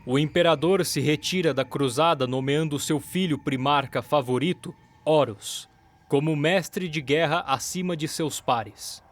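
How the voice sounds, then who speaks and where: A man delivering solemn, deliberate scripted narration for a dramatic production. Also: on the high side. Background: music.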